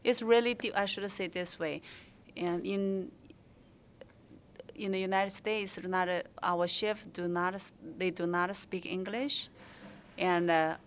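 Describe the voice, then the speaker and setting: Slow, halting woman with Mandarin accent. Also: lowish voice, slight background noise. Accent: Mandarin Chinese. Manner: halting, many fillers and restarts. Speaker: restaurant owner. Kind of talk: interview answers.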